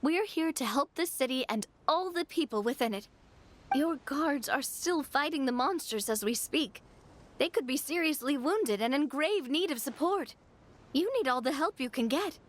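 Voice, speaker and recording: A woman playing an earnest, polite young female adventurer in a game, with a gentle manner. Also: sits high, animated, normal speed, slight background noise. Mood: earnest, pleading.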